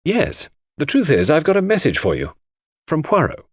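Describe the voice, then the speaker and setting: A man's voice: fairly high pitch, huge pitch swings, moderate pace, husky, no echo, studio quality, voice pushed up, heavily acted. Man playing huffy, self-important detective's sidekick. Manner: huffy, pompous. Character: indignant, self-important male friend of the detective.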